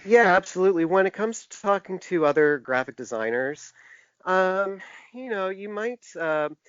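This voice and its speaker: A man speaks as an interview guest, explaining his creative work in a conversational register. His delivery is halting and searching, with long answers. The recording is dry and somewhat muffled. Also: high-pitched, animated, fairly bright tone, clean tone, no room sound. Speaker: podcast guest, typographer and graphic designer. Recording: dull, lo-fi, dry podcast audio.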